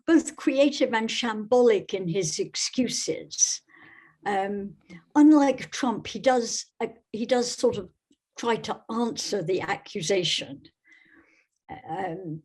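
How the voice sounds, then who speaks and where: A woman from Scotland speaks as an interview guest in a husky voice. She takes her time, picking through her answers in a measured, wry manner. Her pitch sits in a middle range. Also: a little mellow. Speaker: guest novelist.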